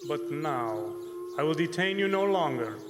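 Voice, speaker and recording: A man acting as a courteous, sinister vampire nobleman in a stage radio drama, speaking formally and with measured courtesy. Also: a little high, animated. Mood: sinister hospitality. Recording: noisy live hall recording, long reverb.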